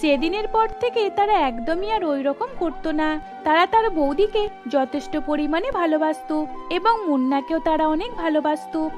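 A woman's voice: sits high, animated, moderate pace. A woman storyteller reading scripted narration for a cartoon, with music underneath.

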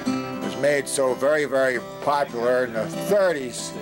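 An older man giving casual, halting, folksy commentary in a musing way, off the cuff as a documentary narrator. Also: mid-range voice, music in the background, poor audio.

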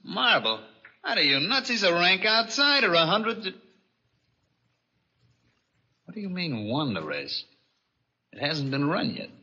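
A cocky American schemer from an old 1940s radio play. His slangy talk is very sharp and forceful. The old recording sounds dull and narrow. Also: high voice, very animated, normal speed, husky, exaggerated voice. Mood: startled, incredulous. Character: brash, scheming, slangy American driver and gambler. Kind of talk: 1940s radio drama acting.

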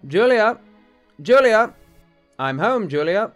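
An actor playing a proud, easily annoyed bishop with a formal, emphatic manner in a radio-style drama. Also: male voice, high-pitched, big pitch swings, slow, a bit bright, soft background music, exaggerated voice. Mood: calling out.

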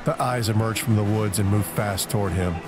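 A man narrating an audio drama in a deliberate, brooding way, pausing between short phrases for dramatic weight. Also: on the low side, over music.

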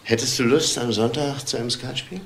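An actor playing a cool, evasive husband and boss, curt and unruffled in a crime radio drama. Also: male voice, mid-range voice, fairly even intonation, a little fast, gravelly, close to an everyday voice. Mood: casual. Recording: roomy, distant, very noisy sound.

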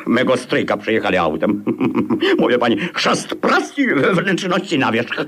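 An actor playing a boorish, blustery, drink-loving army captain in a grotesque 1950s Polish radio comedy. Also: male voice, mid-range voice, wildly swooping pitch, unhurried, a bit bright, breathy edge, heavily acted. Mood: morbid glee.

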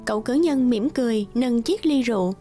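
A woman's voice: on the high side, expressive, fairly quick, mellow, faint music behind. A woman narrating a scripted fairy tale for children.

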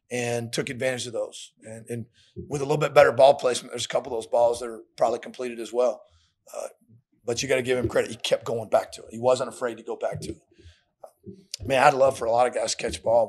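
A middle-aged American man, a football coach, answering questions in a plain, colloquial way at a post-game press conference podium. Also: medium pitch. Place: indoor press room at a podium. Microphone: podium microphones.

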